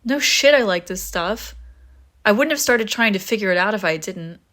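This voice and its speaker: A woman's voice: mid-range voice, expressive, normal speed, fairly bright tone, natural voice. Wry, teasing young vampire woman, acted by a woman. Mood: enthusiastic, teasing. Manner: casual, wry, teasing, swearing freely. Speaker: audio roleplay voice actor. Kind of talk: intimate scripted audio roleplay, conversational.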